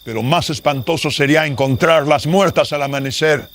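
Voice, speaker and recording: A man's voice: a little high, normal speed, a bit bright, pitched above the performer's own voice, natural voice. A man playing a grim, secretive father in a horror radio drama, solemn and theatrical. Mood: grave. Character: grim, secretive father guarding a terrible house.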